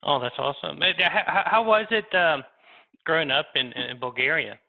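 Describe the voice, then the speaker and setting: A man hosting an interview as facilitator, halting and repeating words as he speaks. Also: on the high side, animated. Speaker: interviewer, recruiting consultant. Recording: tinny phone-like line.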